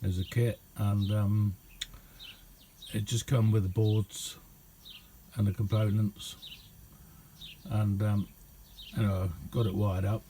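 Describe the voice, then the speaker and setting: Middle-aged man, soft dark tone, casual halting hobbyist demo, faint background noise. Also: lowish voice, flat delivery. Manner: unhurried, halting, colloquial. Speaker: radio hobbyist showing a homemade receiver. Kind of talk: casual hands-on demonstration vlog. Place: indoor hobby radio room.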